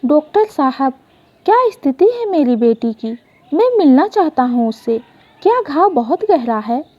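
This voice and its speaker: A woman's voice: high-pitched, big pitch swings, a little slow, dull audio. A woman voicing an anxious, guilt-ridden middle-aged father in an emotional story, troubled and pleading.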